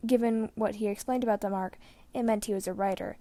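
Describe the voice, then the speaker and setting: A woman's voice: mid-range voice, fairly even intonation, fairly quick, dark tone, dry recording. A woman reading fan fiction aloud as the narrator, telling the story dramatically. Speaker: podfic narrator.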